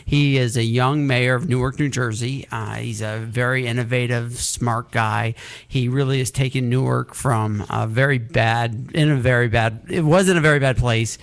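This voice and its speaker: A man's voice: mid-range voice, steady tone, normal speed. A man hosting a casual talk radio show, chatty and rambling, poking fun at himself. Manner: chatty, rambling, self-deprecating. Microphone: studio mic with headphones.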